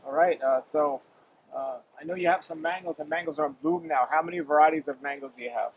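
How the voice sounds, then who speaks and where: Man, interviewer, chatty follow-up questions, slightly higher voice, outdoors, faint noise. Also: poor audio. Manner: chatty, asks quick follow-up questions with ums. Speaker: interviewer, channel host. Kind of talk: casual interview. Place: outdoors in a yard.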